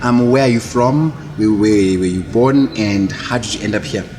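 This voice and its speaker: A man's voice: fairly low pitch, normal speed. A man hosting an online talk show, chatty and loose, often stumbling and repeating words. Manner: talkative, hesitant, stammers and repeats words. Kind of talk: casual interview hosting.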